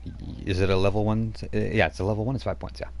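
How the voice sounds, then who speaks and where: A man's voice: lowish voice, level tone, very fast, a little hiss or hum. A man acting as game master, casually explaining tabletop rules step by step.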